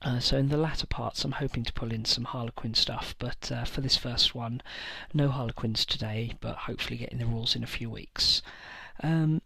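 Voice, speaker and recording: A man hosting his own tabletop wargaming channel, talking casually through army lists with frequent pauses and filler words. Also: medium pitch, fairly even intonation, creaky, bright, forceful tone, breathy edge, dry recording. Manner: hesitant, many fillers and pauses. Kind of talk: casual list-building talk to camera.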